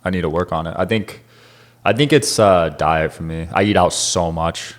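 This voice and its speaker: A man's voice: low voice, normal speed. A man in his twenties hosting a casual interview, laid-back, giving short reactions and questions. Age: 20s. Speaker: podcast host.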